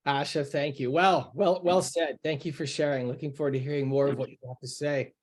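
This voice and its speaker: A man with a fairly high voice moderates a round-table talk. He thanks each guest in turn and politely hands the floor to the next speaker. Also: fairly even intonation, brisk. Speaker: host.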